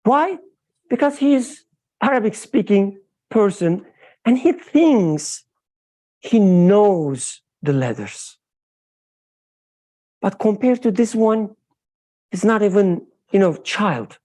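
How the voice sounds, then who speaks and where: Slow, mellow woman. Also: medium pitch, expressive. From Turkey. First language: Turkish. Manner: halting, searching for words. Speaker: study circle participant. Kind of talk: group discussion.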